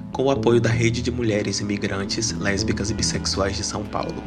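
Male podcast host, scripted narration, measured delivery, clear music bed underneath. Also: mid-range voice. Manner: measured, reads an introduction.

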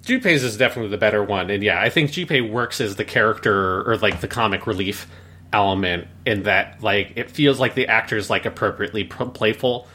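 A man's voice: on the low side, fairly quick, sharp tone, breathy edge. A man chatting casually as a podcast host, rambling through his opinions and leaning often on "like". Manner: rambling, frequent "like". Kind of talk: casual review chat.

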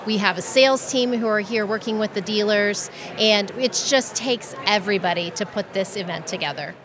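A woman's voice: mid-range voice, normal speed, crowd noise. A woman event organizer speaking with bubbly enthusiasm in an interview. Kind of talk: interview answers and stage presentation.

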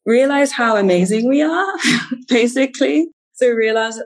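A woman with a Ghanaian British accent, speaking as a podcast guest in earnest, enthusiastic, casual interview talk. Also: lowish voice. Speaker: podcast guest, healer and entrepreneur.

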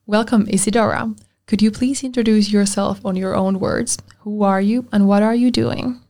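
A woman co-hosts a podcast with a lot of gravelly fry in her voice. She reads the episode intro and poses her questions in a measured, even way. Her pitch is ordinary for a woman. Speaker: podcast co-host. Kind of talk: podcast hosting, partly scripted.

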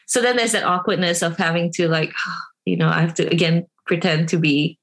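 A woman, a guest on a podcast, speaking reflectively and candidly in a measured way. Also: lowish voice, steady tone. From Singapore. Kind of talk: casual conversational interview.

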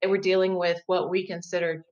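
A woman in her fifties, a guest in an interview, explaining her work as a diversity educator in a measured, thoughtful way with occasional restarts. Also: lowish voice, little pitch movement, darkish tone, dull audio. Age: 50s. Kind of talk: conversational interview.

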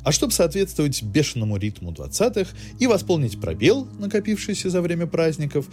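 Expressive male podcast host, lively intonation. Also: a little high, brisk, faint music behind. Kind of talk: scripted cultural news narration.

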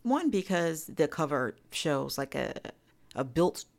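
A woman's voice: sits low, big pitch swings, slow pace, gravelly. A woman reviewing in a casual podcast style, frank, profane and enthusiastic.

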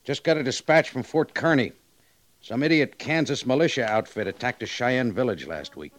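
An actor playing a commanding cavalry major in an old radio western, businesslike and dryly scornful. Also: male voice, medium pitch, expressive, moderate pace, lightly acted. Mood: grim, scornful. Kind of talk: 1950s radio western acting.

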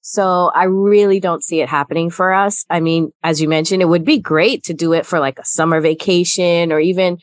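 A woman's voice: fairly low pitch, fairly even intonation, fairly quick, hardly any pauses, dry recording. Earnest woman podcast co-host, dry sound. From Guyana. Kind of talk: casual chat.

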